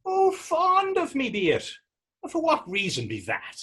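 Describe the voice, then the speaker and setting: A man's voice: very high-pitched, huge pitch swings, a little slow, pushed and bright, full character voice. A man in a readers theater drama playing a defiant young Irish street vagrant, taunting and sarcastic, with an Irish accent. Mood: sly, sarcastic. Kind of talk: readers theater stage acting.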